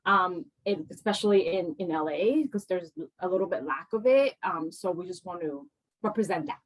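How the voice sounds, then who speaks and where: A woman who grew up in Hong Kong, Vancouver and Los Angeles, a restaurant co-owner, answering interview questions in a chatty, halting way. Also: on the low side, a little hiss or hum, poor audio.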